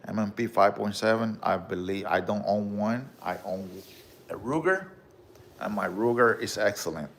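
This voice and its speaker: A man with a Puerto Rican accent hosting a casual livestream, reading viewer comments and showing off his collection, with a relaxed manner and frequent "bro" and "okay?". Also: lowish voice, moderate pace, slight background noise. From Puerto Rico. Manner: relaxed, repeats "bro" and "okay?", many fillers.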